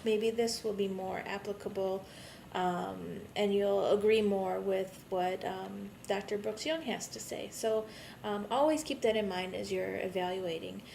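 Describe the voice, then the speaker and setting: Bit tired lady instructor chatting to her online class, rambly and informal, faint rumble behind her. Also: vocal fry, darkish tone. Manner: rambling, conversational. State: a bit tired, jet-lagged. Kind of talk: informal recorded video message to students. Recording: rumbly background noise.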